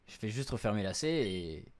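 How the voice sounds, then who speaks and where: A young adult man giving casual gameplay commentary, translating on the fly in informal, slangy speech peppered with English words. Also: fairly low pitch. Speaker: streamer playing a visual novel. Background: game music.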